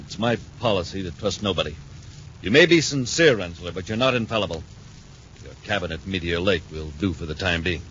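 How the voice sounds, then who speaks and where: An actor playing a suspicious, domineering criminal boss in a 1950s radio serial. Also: male voice, deep voice, expressive, brisk, fairly bright tone. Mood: cold, commanding. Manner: domineering, interrogating. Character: large, menacing, suspicious male criminal boss. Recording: noisy, muffled 1950s radio recording.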